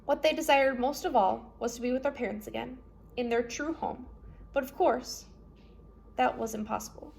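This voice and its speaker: High-voiced woman reading a storybook aloud. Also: normal speed, gravelly, darkish tone, a little hiss or hum. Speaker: read-aloud storybook narrator. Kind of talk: reading a children's novel aloud.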